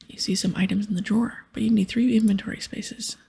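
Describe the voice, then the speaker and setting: A woman softly whispering an ASMR video game playthrough, mixing hushed, unhurried reading with casual asides. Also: medium pitch, fairly even intonation. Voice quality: breathy, soft whisper. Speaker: streamer reading game dialogue aloud.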